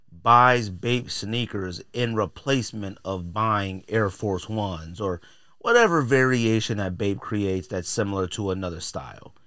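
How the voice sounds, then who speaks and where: Low-voiced American man talking bluntly, dry sound. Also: sharp tone, no echo. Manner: blunt, informal, slangy. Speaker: podcast host. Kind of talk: casual opinionated podcast talk.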